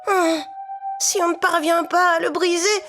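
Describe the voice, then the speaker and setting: A woman's voice: very high-pitched, flat delivery, measured pace, a bit bright, soft background music, full character voice. A woman voicing a small, kind, worried talking mouse in a fairy-tale cartoon, earnest and full of wonder.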